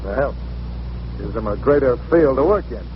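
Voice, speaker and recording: An actor playing a blunt, frustrated, slangy police commissioner in 1940s radio style. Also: male voice, mid-range voice, animated, normal speed, natural voice. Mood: exasperated. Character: gruff, frustrated, plain-spoken male police commissioner. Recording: noisy, muffled old radio recording.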